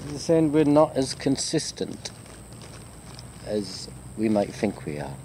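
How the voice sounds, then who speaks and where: A man's voice: mid-range voice, big pitch swings, slow pace, mellow, slight background noise. A man lecturing informally to his students in a walking video chat, self-deprecating and conversational, rambling as he goes. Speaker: university lecturer.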